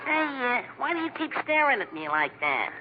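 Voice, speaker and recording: Cheeky, very high-pitched cartoon rabbit. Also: male voice, big pitch swings, moderate pace. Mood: breezy, cheeky. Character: wisecracking male cartoon rabbit, cheeky and nonchalant. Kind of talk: 1950s radio comedy acting. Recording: muffled, noisy 1950s radio recording.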